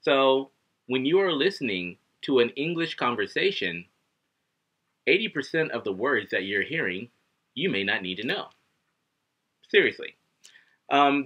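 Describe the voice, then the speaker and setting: A man in his thirties teaching English to camera at home, animated and acting out little scenes as he explains. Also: mid-range voice, breathy edge. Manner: animated, acts out students and himself, gestures a lot. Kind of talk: casual video lesson to camera. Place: living room at home, indoors. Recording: dull lo-fi webcam audio.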